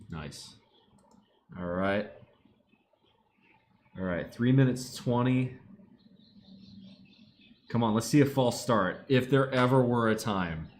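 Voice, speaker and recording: A man chatting casually to his live stream from home, slipping into sudden excited yelling at a football game. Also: sits low, gravelly, slight background noise. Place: home room.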